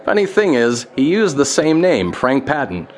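A man's voice: fairly high pitch, big pitch swings, moderate pace. An American actor playing a savvy male private detective in a radio drama, colloquial and matter-of-fact. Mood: matter-of-fact, confident.

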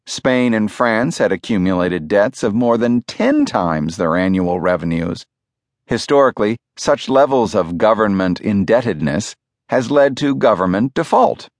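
A man reads a nonfiction audiobook in a measured, clearly phrased storytelling manner, close to the microphone. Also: lowish voice, animated, no room sound. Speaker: audiobook narrator. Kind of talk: scripted nonfiction audiobook narration. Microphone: close studio microphone.

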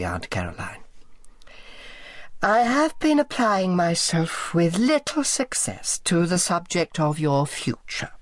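A woman voicing a spiteful widow in her forties who plays a frail old tyrant, with a whining, creaking voice and slow, sarcastic speech. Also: lowish voice, no echo. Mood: cold sarcasm.

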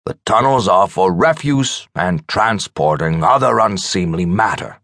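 A man voicing a stern, dismissive senior asylum doctor who speaks with even, clipped authority in an audiobook. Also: deep voice, unhurried, dry recording, clean studio sound, heavily acted. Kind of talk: audiobook character dialogue.

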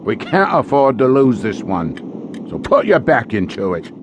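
An actor playing a sly, greedy, falsely pious gravedigger with a theatrical in an audio horror drama. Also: male voice, mid-range voice, very animated, moderate pace, noisy background, lightly acted. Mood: grim, gruff.

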